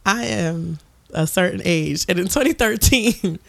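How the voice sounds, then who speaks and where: A woman's voice: lowish voice, lively intonation, a little slow, vocal fry, dry recording. A podcast host from the Bronx, in her thirties, chatting casually and bluntly with friends, swearing and joking. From the Bronx, New York. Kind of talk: casual chat.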